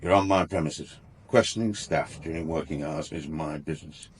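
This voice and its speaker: An actor playing a big, tough, suspicious garage boss in a radio crime drama, blunt and belligerent. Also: male voice, rumbling low, normal speed, slight background noise. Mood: belligerent, scornful.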